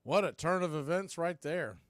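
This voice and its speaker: A man calling live baseball play-by-play in an excitable, folksy radio style. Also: on the high side, moderate pace, no room sound, studio quality. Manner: excitable, folksy exclamations on big plays.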